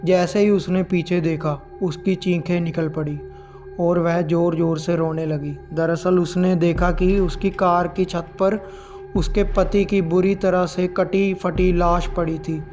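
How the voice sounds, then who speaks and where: High-pitched, even-toned male horror storyteller. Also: unhurried, soft background music. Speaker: horror story narrator and channel host. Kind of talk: scripted narration of horror stories.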